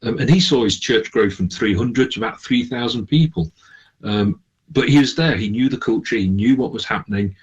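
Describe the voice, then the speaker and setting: A man speaking as a radio interview guest, explaining things in a halting, conversational way. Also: mid-range voice, breathy edge, muffled recording. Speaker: interview guest, charity manager.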